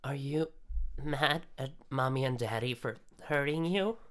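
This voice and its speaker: Casting: male performer as gentle mom in a visual novel, unhurried delivery, smooth clean voice. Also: mid-range voice, voice pushed up, natural voice. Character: mother, gentle female parent addressing her children. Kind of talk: visual novel character read-aloud.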